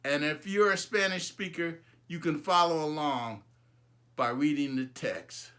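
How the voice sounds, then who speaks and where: A man reading his own children's book aloud as an expressive, emphatic storyteller. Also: on the high side, unhurried, clean tone.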